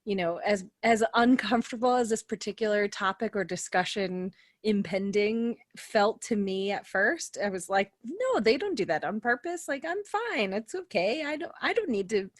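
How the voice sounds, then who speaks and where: A woman's voice: medium pitch, lively intonation, moderate pace, hardly any pauses, no echo. Earnest woman in a dry-sounding podcast chat. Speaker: podcast panelist. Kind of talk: casual, personal discussion.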